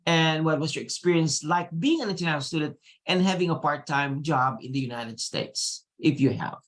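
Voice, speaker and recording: Brisk panel moderator calling on speakers. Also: mid-range voice, lively intonation, fairly bright tone. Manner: brisk, prompting speakers by name.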